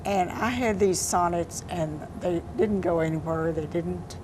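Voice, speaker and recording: An older woman poet answering interview questions in a soft-spoken, unhurried, plainspoken way. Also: fairly low pitch. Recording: noisy background.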